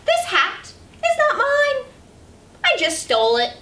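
A young woman reading a picture book aloud as a children's storyteller, with animated, exaggerated delivery, in a small room at a distance from the mic. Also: very high-pitched, slow pace. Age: young adult. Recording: roomy, distant camera mic, faint noise.

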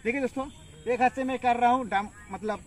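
High-voiced young man coaching outdoors. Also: fairly even intonation, fairly quick. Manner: talks while lifting, counts reps aloud, many fillers. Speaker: fitness instructor. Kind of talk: casual workout demonstration. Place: open farm field outdoors. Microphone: camera at a distance. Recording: distant outdoor camera audio, faint noise.

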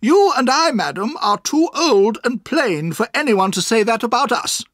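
A narrator voicing a stern, sharp-tongued older woman, an aunt with an emphatic, commanding manner, in a storybook reading. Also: mid-range voice, animated, moderate pace, dry recording, clean studio sound, pitched above the performer's own voice. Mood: sharp, crisp.

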